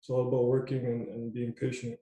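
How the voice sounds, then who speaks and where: A man's voice: medium pitch, completely flat delivery, brisk, mellow, echoey room, low-quality recording. A young man coaching youth basketball, talking casually in a loose, repetitive way. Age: young adult. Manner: loose, repetitive, says "you know what I mean" a lot. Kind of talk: casual podcast chat.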